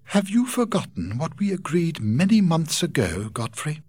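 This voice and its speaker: A man's voice: fairly high pitch, very animated, normal speed, a little mellow, slightly rough, no echo, pitched above the performer's own voice. A man voicing a heartbroken, earnest young woman in a spoken storybook, pleading and tearful. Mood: firm.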